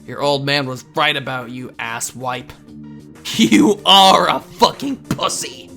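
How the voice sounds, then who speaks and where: Sharp bright teenage boy, man acting, swinging widely in pitch, excitable, sweary, amateur comic dub hero. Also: slow, creaky, soft background music, exaggerated voice. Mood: furious. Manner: excitable, profane, dramatic.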